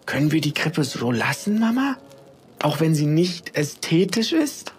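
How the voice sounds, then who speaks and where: Brief: eager little boy, put on by a male narrator, raised and bright, earnest storybook kid. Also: a little high, sing-song, a little slow, slight background noise, voice pushed up. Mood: pleading. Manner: pleading, earnest explaining. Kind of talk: storybook read-aloud.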